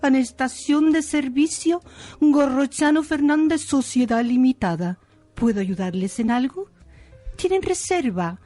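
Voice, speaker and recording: A woman's voice: on the high side, warm tone. A woman acting a wicked, formal resort manager in a melodramatic radio soap parody. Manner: deliberate, formal.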